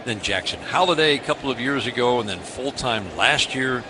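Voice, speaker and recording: A man's voice: mid-range voice, lively intonation, moderate pace. American male radio baseball announcer, medium register, live play-by-play, noticeable ballpark ambience behind. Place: stadium broadcast booth. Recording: clear outdoor ballpark ambience noise.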